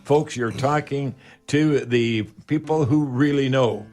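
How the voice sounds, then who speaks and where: A man's voice: medium pitch, slow, a little mellow. An older Canadian man, sixty or over, hosting a home improvement show in a chatty, folksy, rambling way with catchphrases.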